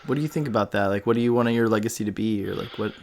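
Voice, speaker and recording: A man's voice: on the low side, very fast, creaky, slight background noise. A man hosting a podcast in an informal, encouraging, conversational way. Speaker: podcast host. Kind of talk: casual interview chat.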